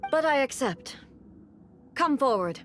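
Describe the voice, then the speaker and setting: A stern, regal warrior ruler. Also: female voice, high-pitched, animated, a little hiss or hum. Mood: solemn challenge. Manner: formal, measured, commanding. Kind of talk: English video game voice acting. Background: game music.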